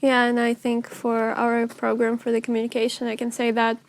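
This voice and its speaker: Upbeat young woman student host, slightly high, gravelly edge. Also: monotone, a little fast. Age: young adult. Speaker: talk show host, student. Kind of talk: scripted casual talk show hosting.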